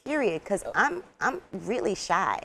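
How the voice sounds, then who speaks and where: A young woman with a Trinidadian accent, chatting as an interview guest, animated and quick to laugh. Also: vocal fry. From Trinidad and the United States. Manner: animated, laughs often, acts out quotes in a high voice. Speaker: actress and guest. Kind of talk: casual interview chat.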